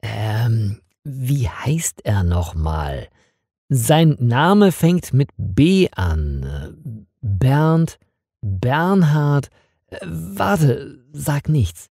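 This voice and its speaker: A hesitant young woman, as a reader puts her on in a learners' storybook. She speaks very slowly in a dark, husky voice with a lively pitch. The sound is dry and close. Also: dry recording, full character voice. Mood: searching. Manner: hesitant, halting. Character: young woman groping for a name.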